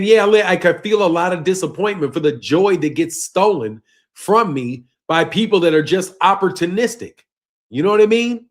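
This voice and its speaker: A fairly high-pitched man. He leans hard on "bro" and "man," and his voice sinks low and quiet as each phrase trails off. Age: 50s. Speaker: boxing channel host. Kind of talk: casual live-stream rant reading chat.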